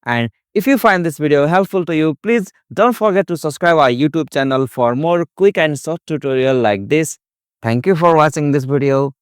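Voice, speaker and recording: A man's voice: a little high, moderate pace, clear, resonant tone, dry recording, clean studio sound. A man narrating a step-by-step tutorial in repetitive, formulaic phrasing.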